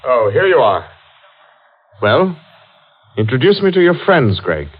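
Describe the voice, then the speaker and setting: An actor playing an agitated, frightened rebel brother in a 1940s radio thriller, husky and theatrical, urgently pleading and warning. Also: male voice, medium pitch, big pitch swings, a little slow. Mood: taunting, warning. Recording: dull old 1940s radio recording.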